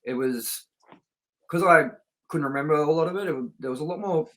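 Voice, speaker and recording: A man's voice: a little high, a little fast, sharp tone, poor audio. A middle-aged man hosting a film review show, talking in a casual, unscripted, chatty way that rambles through personal anecdotes.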